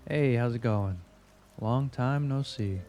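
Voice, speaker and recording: A man's voice: medium pitch, a little mellow, soft background music. A man playing a smug veteran investigator in an audio drama, wry and probing as he interrogates. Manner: wry, needling, deliberate.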